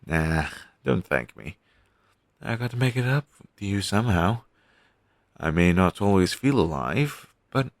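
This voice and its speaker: A man playing a weary, caring undead best friend in an intimate audio roleplay, talking casually and earnestly with halting phrases. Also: low-pitched, gravelly, no echo, studio quality, heavily acted. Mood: resolute, tender. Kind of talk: audio roleplay, intimate one-sided dialogue.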